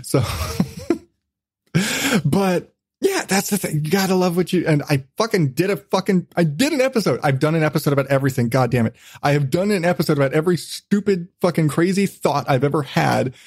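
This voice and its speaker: A man's voice: on the high side, expressive, fairly quick, husky, dry recording, studio quality. A man hosting a podcast in casual chat, blunt, self-deprecating and swearing freely. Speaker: podcast host.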